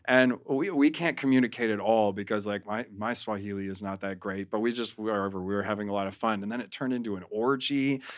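A man speaking as a podcast guest, telling candid stories in a casual, halting way with lots of "like". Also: lowish voice.

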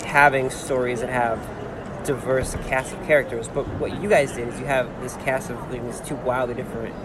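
A man with a medium-pitched voice hosts a casual interview. He talks in effusive, rambling runs, often rephrasing mid-sentence. The recording has clear ambient noise behind him. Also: fairly even intonation, a little fast. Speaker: podcast host interviewing authors. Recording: noisy on-location recording, ambient background sound.

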